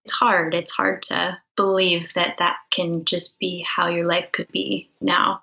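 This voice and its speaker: A woman's voice: fairly low pitch, creaky, fairly bright tone, dull audio. A woman speaking as a musician guest in a casual interview, candid and self-deprecating.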